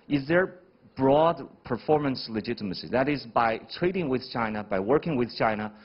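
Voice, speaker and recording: A man's voice: mid-range voice, husky, dull audio. Man lecturing in numbered points. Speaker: professor, panel guest. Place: TV studio.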